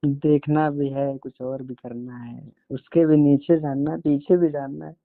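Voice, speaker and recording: A man's voice: a little high, level tone, a little slow, vocal fry, dry recording. Coaxing man on a tinny phone line. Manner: coaxing, teasing. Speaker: boyfriend on a phone call. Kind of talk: casual intimate phone chat. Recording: tinny, band-limited phone call recording.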